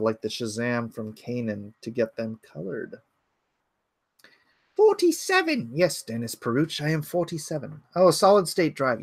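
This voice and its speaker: A man's voice: mid-range voice, no echo, studio quality. A man in his forties hosting a casual livestream from his home computer, rambling and thinking aloud as he answers chat comments. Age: 40s. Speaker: livestream host.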